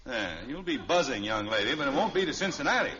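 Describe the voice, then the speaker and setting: Blustering fifties American radio father, stubborn and emphatic, very animated, on a muffled, hissy old recording. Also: mid-range voice, quick pace. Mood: indignant, determined. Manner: gruff, indignant, emphatic. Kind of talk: 1950s radio sitcom acting.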